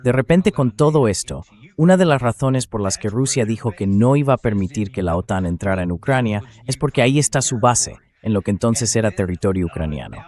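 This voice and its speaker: A man's synthetic voice-over reading translated political commentary in a measured, explanatory way, as an interview guest. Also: fairly low pitch, animated, a little mellow.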